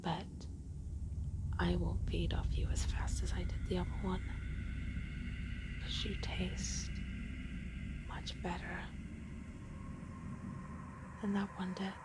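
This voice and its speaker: Woman playing a slow whispering sadistic demoness. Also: on the low side, level tone. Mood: hungry, possessive. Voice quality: breathy whisper with creaky edge. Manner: slow, taunting, drawn-out menacing delivery. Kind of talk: whispered dark audio roleplay.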